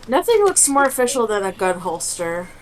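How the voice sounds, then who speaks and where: Woman, higher pitch, animated intonation, casual playful movie commentary. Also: moderate pace, slight background noise, low-quality recording. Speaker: movie reaction show co-host. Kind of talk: casual movie reaction chat.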